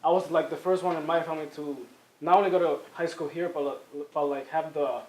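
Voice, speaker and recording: A man's voice: fairly high pitch, steady tone, a little fast, darkish tone. Young man from the Dominican Republic, echoey. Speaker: youth jobs program participant. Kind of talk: interview.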